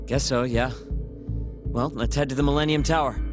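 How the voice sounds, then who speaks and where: A man's voice: medium pitch, fairly even intonation, moderate pace, close to an everyday voice. A man playing a young, wry, streetwise sidekick in a video game cutscene, talking casually and a little sardonically. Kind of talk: English video game cutscene dub acting. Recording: music playing behind the voice.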